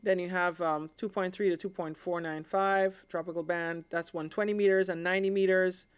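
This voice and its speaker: A woman's voice: lowish voice, steady tone, normal speed, smooth. A woman from the Caribbean hosting a live stream, explaining things in an informal, conversational way and often checking in with her listeners.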